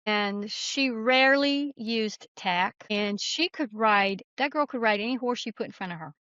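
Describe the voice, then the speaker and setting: Woman, high-pitched, conversational storytelling, plain-spoken, emphatic, dry but slightly dull sound. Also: unhurried, bright, forceful tone, clean tone, dry recording. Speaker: guest author.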